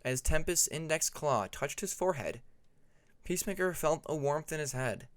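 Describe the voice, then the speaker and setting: A man's voice: fairly high pitch, expressive, moderate pace. A man reading a fantasy story aloud as an audiobook narrator, in a scripted narration style. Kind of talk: scripted fan fiction narration.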